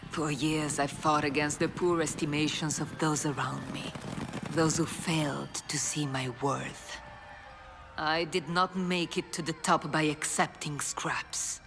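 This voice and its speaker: A deep-voiced ruthless lady boss in a game cinematic, cold and commanding, music behind. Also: steady tone, unhurried, rough recording. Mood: proud scorn. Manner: imperious, cold, formal, threatening. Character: proud, ruthless, commanding woman crime boss. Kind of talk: video game cinematic voice acting.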